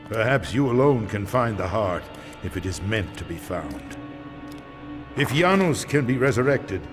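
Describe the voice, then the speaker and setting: Ancient vampire elder, deep and slow. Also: male voice. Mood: urgent, foreboding. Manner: solemn, portentous, measured delivery. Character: ancient weary vampire swordsmith, grave male elder. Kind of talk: dramatic video game cutscene acting. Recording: music behind the voice.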